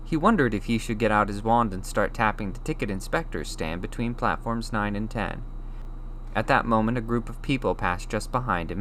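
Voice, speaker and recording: A man's voice: lowish voice, a bit bright, a little hiss or hum, rough recording. A man reading a story aloud as scripted audiobook narration. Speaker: audiobook reader.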